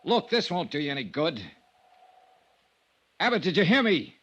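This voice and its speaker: An American actor playing a steady, hard-boiled insurance investigator in a vintage radio noir drama. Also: male voice, on the high side, moderate pace, dull audio. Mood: urgent, firm. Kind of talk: 1950s radio noir acting and narration.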